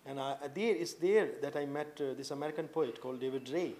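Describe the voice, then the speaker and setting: A man in his late thirties from India, with an Indian accent, answering interview questions earnestly with many halting fillers and restarts. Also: a little high, darkish tone, slight background noise. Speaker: poet guest. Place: indoor room with tapestries and a sofa.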